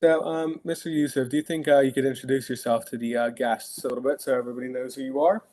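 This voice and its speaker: A man's voice: mid-range voice, fairly even intonation, fast talker, mellow, a little hiss or hum. A man hosting a podcast, asking questions in a friendly, hesitant way with many fillers. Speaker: podcast host. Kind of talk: casual interview questions.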